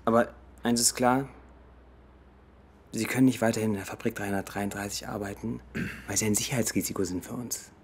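An actor playing a formal Egyptian army colonel in a radio drama, clipped and bureaucratic. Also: male voice, on the low side, fairly even intonation, a little fast, long pauses, slight background noise, close to an everyday voice. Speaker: Egyptian colonel dismissing staff.

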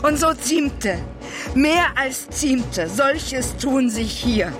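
A murderous mythic queen in a radio tragedy, with music behind her. Her delivery is solemn and declamatory, drawn out very slowly in a highish voice. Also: lively intonation, exaggerated voice. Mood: grim triumph.

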